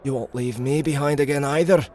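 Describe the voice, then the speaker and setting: A man doing a husky, stubborn adventurer. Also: fairly high pitch, fairly even intonation, a little fast, darkish tone, voice pushed up, natural voice. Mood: insistent. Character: loyal, stubborn male adventurer.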